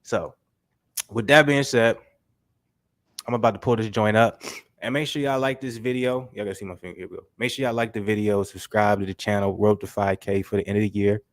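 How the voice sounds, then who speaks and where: A man hosting a casual boxing talk show while sick with a stuffy nose, talking in slangy, animated bursts and filling his speech with "you know what I'm saying". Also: on the low side, fairly quick, no room sound. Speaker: host.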